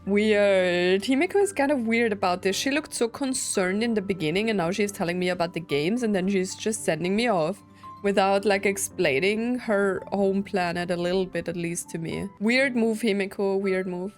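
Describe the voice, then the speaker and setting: A woman's voice: mid-range voice, moderate pace, faint music behind. A woman giving casual, slangy reaction commentary as she plays a game, thinking aloud. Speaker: gaming streamer.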